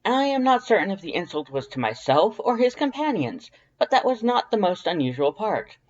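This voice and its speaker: Theatrical male skeleton for audio fiction one reader putting him on: pompous, formal, loud, raised pitch, muffled recording. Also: big pitch swings, brisk. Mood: proud, indignant. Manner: pompous, declamatory, formal wordy diction.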